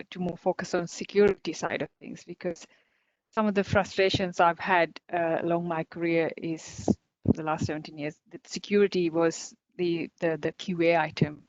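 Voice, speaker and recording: Upbeat Sri Lankan woman on a video call. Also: on the low side. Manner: chatty and upbeat, many fillers and small laughs. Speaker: guest speaker, cybersecurity company director. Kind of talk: online conference talk. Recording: dull lo-fi video call audio.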